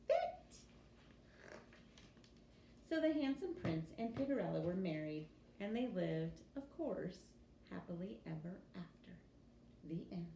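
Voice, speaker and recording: A woman reading a children's story aloud in an expressive teacher's storytelling style. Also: mid-range voice. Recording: roomy echo with faint background noise.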